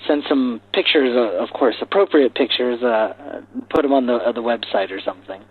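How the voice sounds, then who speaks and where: A man's voice: medium pitch, moderate pace, slightly rough, narrow, tinny audio. A man speaking as a podcast host, interviewing guests in a conversational way.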